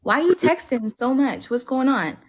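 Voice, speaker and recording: A woman's voice: high-pitched, lively intonation, normal speed. A woman hosting a livestream, chatting casually with lots of "like" fillers. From Alabama, US. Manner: chatty, filler-heavy with frequent "like". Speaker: livestream host. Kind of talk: casual chat.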